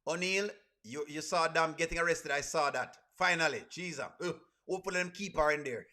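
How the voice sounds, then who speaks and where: Bright, high-voiced Caribbean man chatting. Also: a little fast. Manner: repeats phrases emphatically. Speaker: livestream host. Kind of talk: casual reaction livestream, chatting with viewers.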